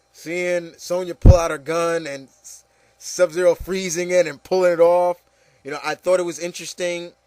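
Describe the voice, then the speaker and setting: A man's voice: a little high, darkish tone, no echo. A man giving casual, rambling opinion commentary, repeating phrases and addressing listeners emphatically. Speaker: video commentator.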